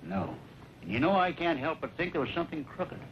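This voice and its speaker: A man's voice: a little high, very animated, fairly quick, slight background noise. An actor playing a proud, stubborn old former marshal and devoted father in an old western drama, speaking earnestly. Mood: worried, earnest. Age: older. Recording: old muffled radio-era recording.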